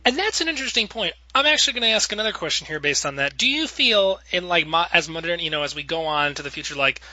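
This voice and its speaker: A man hosts a casual discussion show. His voice is very bright and slightly high, and he talks fast. He rambles amiably through questions for the group. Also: animated, no echo. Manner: rambling, chatty, acts out imagined quotes. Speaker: podcast host. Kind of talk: casual panel discussion, asking guests questions.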